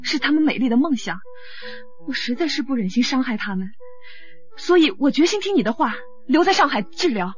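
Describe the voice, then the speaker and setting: Radio drama: troubled young female star, high pleading voice, music behind, slightly muffled. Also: lively intonation, brisk. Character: distressed young female pop idol, pleading and proud. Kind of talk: Mandarin radio drama acting.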